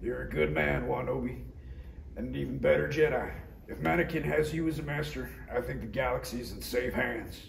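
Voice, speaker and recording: Man acting as old cook pal, heavy vocal fry, folksy, reassuring, roomy distant table read. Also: medium pitch, brisk. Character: easygoing fatherly old alien diner cook friend. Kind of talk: live table read of a parody script. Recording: roomy distant recording with faint noise.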